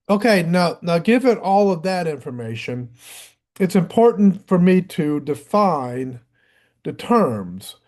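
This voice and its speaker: A man explaining theology off the cuff in a deliberate, didactic way, with halting pauses and a habit of clearing his throat. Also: fairly high pitch, very animated, darkish tone. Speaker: religious teacher.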